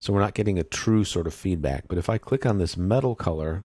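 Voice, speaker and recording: A man giving a casual, step-by-step software tutorial, explaining informally and thinking aloud as he works. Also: sits low, brisk, slightly rough, no room sound, clean studio sound.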